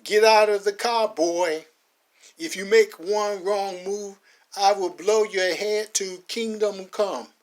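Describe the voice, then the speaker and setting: A menacing, hostile police officer, put on by a man, drawling each threat slowly and deliberately. The voice sits high for a man and stays tense and hard throughout.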